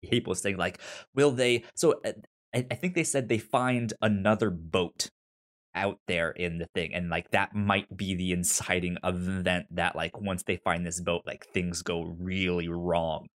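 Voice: sits low, steady tone, unhurried. A podcast host of unclear sex chatting casually about television, often stumbling and repeating words while explaining. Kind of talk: casual TV show discussion.

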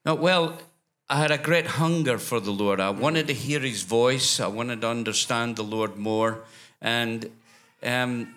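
An older Scottish man with a bright, forward voice tells his story at an easy middle pitch. He rambles, restarts his sentences and chuckles along the way, as a guest in a church interview. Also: a little slow. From Scotland.

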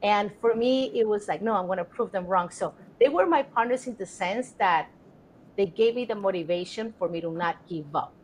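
A woman's voice: mid-range voice, normal speed, a little hiss or hum, low-quality recording. A middle-aged woman from Colombia, a Spanish speaker, telling her story as a podcast guest in an earnest, reflective way with many fillers. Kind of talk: casual interview storytelling.

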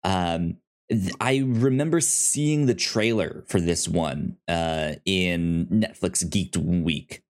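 A man hosting a casual review podcast, speaking in halting, conversational phrases that often double back on repeated words. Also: low-pitched. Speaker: podcast host.

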